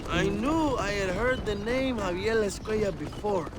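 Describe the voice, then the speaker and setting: A man's voice: high-pitched, animated, moderate pace, loud music behind the voice. An actor playing a vain, womanizing Mexican rebel leader in a video game cutscene, theatrical and grandiose, with a Mexican Spanish accent. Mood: boastful, sly. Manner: pompous, theatrical, effusive, peppering English with Spanish.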